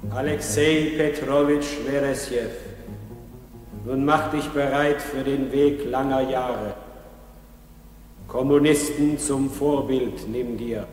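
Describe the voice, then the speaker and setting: Man playing a ceremonial official, slow declamation, reverberant hall, music, solemn radio drama. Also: mid-range voice, fairly even intonation, a little mellow, natural voice. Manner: measured, declamatory. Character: solemn male official leading a pioneer oath ceremony.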